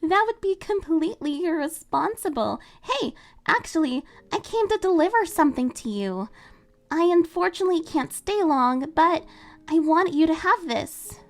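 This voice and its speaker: A woman voicing a cheerful, eager teenage girl heroine who talks in a bubbly, excitable way. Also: very high voice, moderate pace, pitched above the performer's own voice. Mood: upbeat.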